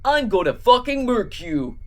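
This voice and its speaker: A woman's voice: on the high side, big pitch swings, measured pace, voice pushed up. Woman playing a furious, menacing screaming cat. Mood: furious threatening. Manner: screaming threats. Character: furious, foul-mouthed, menacing giant cat man.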